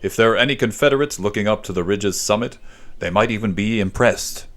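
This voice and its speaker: Deep-voiced male story narrator. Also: brisk. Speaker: narrator of a literary story podcast. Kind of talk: scripted dramatic story narration, present tense.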